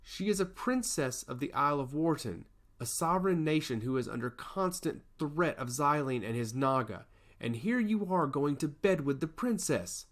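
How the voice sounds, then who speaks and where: A man's voice: fairly high pitch, animated, normal speed, voice pushed up, lightly acted. A man voicing a stern goddess of law in a storybook, scolding in formal, emphatic speech. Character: stern, disdainful goddess of law, easily annoyed.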